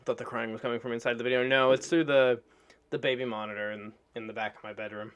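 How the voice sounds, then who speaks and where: A man's voice: mid-range voice, level tone, quick pace, a bit bright, clean tone. A man chatting casually as a streamer reacting to videos. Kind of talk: casual livestream chat.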